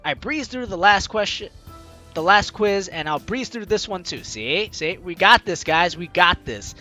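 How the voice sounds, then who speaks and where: Male gaming host: bright high voice, jokey commentary and read-aloud narration, soft music behind. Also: expressive, a little slow. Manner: jokey asides in reaction to the game. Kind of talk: let's play commentary mixed with reading game narration aloud.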